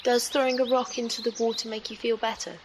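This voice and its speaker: Woman playing literal-minded alien lady, calm n analytical, slightly high, formal wording, outdoor bird noise behind, audio drama. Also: quick pace, natural voice. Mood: calm, curious. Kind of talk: sci-fi audio drama acting.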